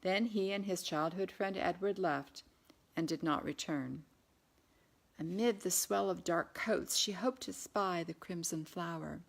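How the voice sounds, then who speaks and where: A woman's voice: lowish voice, measured pace, creaky. A woman reading historical fiction aloud as an author, in scripted audiobook narration. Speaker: author reading their own novel.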